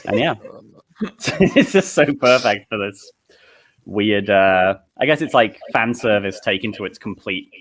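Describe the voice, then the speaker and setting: Casting: man, low pitch with big swings, excitable rambling storyteller in casual chat. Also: unhurried. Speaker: co-writer on a fan script project.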